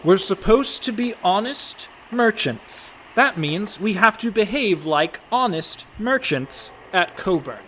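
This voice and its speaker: Man playing a fussy, reluctant young pirate, swooping pitch. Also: expressive, slow, few pauses, sharp tone, a little hiss or hum, full character voice, poor audio. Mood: exasperated. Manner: fussy, exasperated. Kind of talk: comedic radio play acting.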